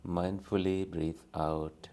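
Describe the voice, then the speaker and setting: Deep, slow man guiding meditation. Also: level tone. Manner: gentle, soothing, with long pauses between short phrases. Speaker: meditation teacher. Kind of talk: guided meditation.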